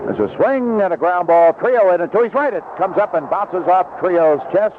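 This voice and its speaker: Noisy narrow-band radio, male baseball announcer, slightly high voice, live play-by-play, 1970s broadcast. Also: expressive, moderate pace. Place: outdoor ballpark broadcast booth.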